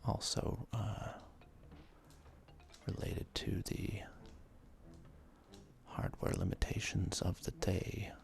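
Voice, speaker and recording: A man whispers game commentary in an ASMR style, his voice heavily creaky and low. He speaks slowly and haltingly, dropping in casual asides as he hosts. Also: flat delivery. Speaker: gaming video host.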